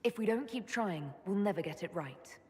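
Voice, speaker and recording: A woman's voice: medium pitch, animated, normal speed, dark tone, lightly acted. An actress playing a hopeful, heroic female leader in a fantasy video game, assured and plain-spoken. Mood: earnest. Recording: hall reverb, faint noise.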